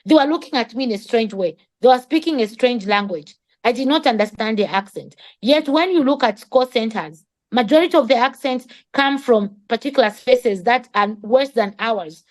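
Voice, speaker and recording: A woman's voice: fairly high pitch, a little mellow. A woman who speaks English as a second language, giving an impassioned advocacy speech in an emphatic, rhetorical manner. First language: not English. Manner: emphatic, rhetorical questions, repeats words for emphasis. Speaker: speaker at a community summit.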